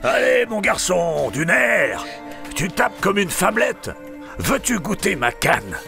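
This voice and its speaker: An actor playing a cruel, cunning old drunkard blacksmith in an audio drama, with a gruff, gravelly, muttering delivery. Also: male voice, high-pitched, very animated, slow, sharp tone, faint music behind, heavily acted. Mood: gruff, bullying. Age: older. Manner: gruff, drawling, muttering hesitations. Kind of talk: French audio fiction acting.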